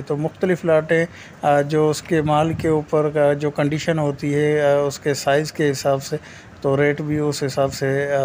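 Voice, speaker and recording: A Pakistani man delivers an informal market update in a somewhat high voice that stays extremely flat. He pauses often, stretching out fillers as he goes. Ambient noise sits behind his voice. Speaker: host of fish market report vlog. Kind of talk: informal market report narration.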